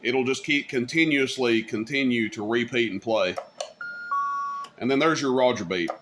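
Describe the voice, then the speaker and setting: A man's voice: mid-range voice, normal speed. A man giving a casual, folksy, rambling hands-on demonstration as a hobbyist video host. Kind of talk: casual hands-on product demo. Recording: clear background noise.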